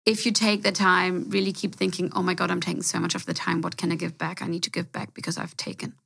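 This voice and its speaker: A woman's voice: lowish voice, flat delivery, fast talker, gravelly, a bit bright, husky. A woman co-hosting a casual interview chat, giving earnest and emphatic advice about investing. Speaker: podcast co-host, venture fund co-founder.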